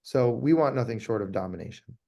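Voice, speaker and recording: A man's voice: medium pitch, animated, a little fast, gravelly, dark tone, lo-fi sound. A man speaking conversationally as an interviewed law firm owner, emphatic and often repeating his key phrases.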